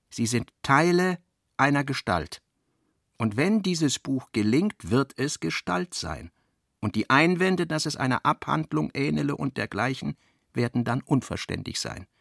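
A man reading an author's literary notes for a radio play in a deliberate, reflective way. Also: mid-range voice, vocal fry, fairly bright tone, no room sound, studio quality.

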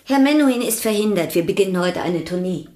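An actress playing a brisk, bossy and suspicious woman in a German radio play, direct and questioning. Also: medium pitch, lively intonation, warm tone, lightly acted. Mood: probing, reproachful.

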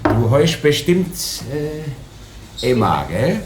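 An actor playing a cheeky, flirtatious escaped convict in a radio play, breezy and colloquial. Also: male voice, on the high side, very animated, takes it slowly, very noisy. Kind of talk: 1980s German radio play acting.

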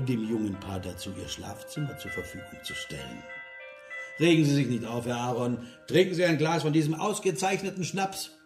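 Sardonic, animated male party guest. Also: medium pitch. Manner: sardonic, mock-polite, hammering out sentences. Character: cynical, mocking male party guest, drinking. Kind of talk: German radio play acting. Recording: roomy interior, music behind.

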